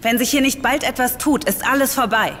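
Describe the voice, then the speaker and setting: An actress playing a serious team member in a German audio drama, speaking matter-of-factly. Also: female voice, sits high, fairly quick, fairly bright tone, long reverb tail, faint music behind.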